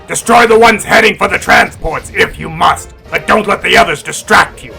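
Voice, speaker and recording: A man playing a proud, contemptuous cat-like alien warrior in a sci-fi audio drama, gravelly and imperious. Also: high-pitched, normal speed, sharp tone, soft background music, full character voice. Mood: commanding. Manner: imperious, scornful.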